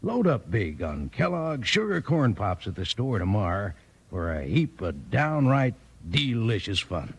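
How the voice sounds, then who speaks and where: A man's voice: on the low side, sing-song, unhurried, slightly rough. A man delivering a folksy, chummy cowboy-style advertisement in the manner of 1950s radio.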